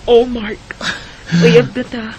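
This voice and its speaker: A woman acting as a young Bisaya heroine in a sentimental radio drama. Her voice is high, and she plays someone caught between love and family. A light noise sits on the recording. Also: level tone, slow pace, mellow, muffled recording. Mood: reluctant, shy. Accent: Filipino, Bisaya.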